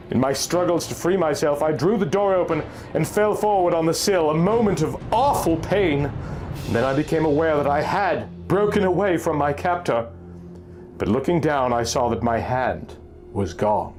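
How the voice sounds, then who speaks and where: A man reading a first-person pulp horror story as a narrator, in a formal, measured, dramatic literary style. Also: a little high, a little mellow, slight background noise. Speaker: audiobook narrator. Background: music.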